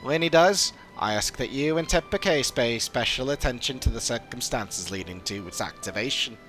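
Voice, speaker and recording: Man voicing a courteous old scholarly father, bright voice. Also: medium pitch, lively intonation, faint music behind, natural voice. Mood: thoughtful. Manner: formal, measured, old-fashioned wording. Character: courteous old father, scholarly theorist recovering from long illness. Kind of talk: fantasy game dialogue read aloud.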